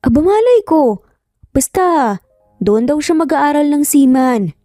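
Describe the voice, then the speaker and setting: A woman's voice: sits high, big pitch swings, unhurried, dark tone, no room sound, clean studio sound, faint music behind, pitched above the performer's own voice. Woman playing a curt, exasperated older brother. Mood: dismissive. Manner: curt, scolding.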